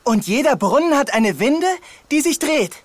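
High-voiced, eager boy adventurer in reverb. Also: normal speed. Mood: excited, triumphant. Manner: excitable, exclaiming. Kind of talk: children's audio drama acting.

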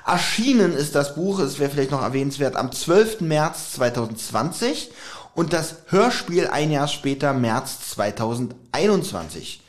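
A man's voice: fairly high pitch, big pitch swings, normal speed. A man co-hosting a podcast, chatting casually and humorously about audio dramas in a teasing, jokey way. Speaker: podcast co-host.

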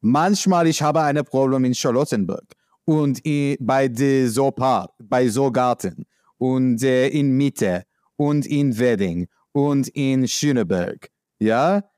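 A man from the United States with an American accent, speaking in a halting, searching way with many fillers, answering as an interviewee. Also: medium pitch, darkish tone, no echo, studio quality. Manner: halting non-native speech with many fillers. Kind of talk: conversational interview.

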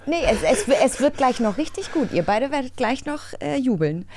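A woman's voice: high-pitched, sing-song, moderate pace, a loud crowd. A woman co-hosting a podcast in a casual, playful style. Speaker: podcast co-host.

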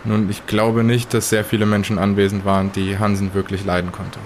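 A man playing a measured, grave witness who speaks formally and deliberately in an audio drama retelling. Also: on the low side, little pitch movement, slight background noise, natural voice. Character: measured, grave male witness recounting eerie deaths.

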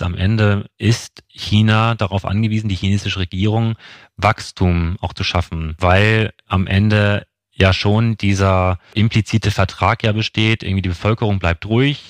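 A man's voice: low voice, dry recording. A man giving a wordy, explanatory analysis as an expert guest in an interview, circling back with asides. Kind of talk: analytical interview commentary on markets.

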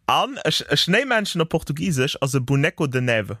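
A man's voice: fairly high pitch, big pitch swings, brisk, bright, forceful tone, breathy edge, dry recording, clean studio sound. A man with a Luxembourgish accent co-hosting a podcast, playfully sharing trivia in casual chat. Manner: playful, shares trivia with enthusiasm. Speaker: podcast co-host.

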